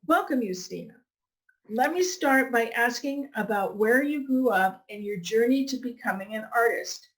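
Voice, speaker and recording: Woman, podcast host, measured, clear, somewhat high voice. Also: expressive. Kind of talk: scripted introduction and interview questions.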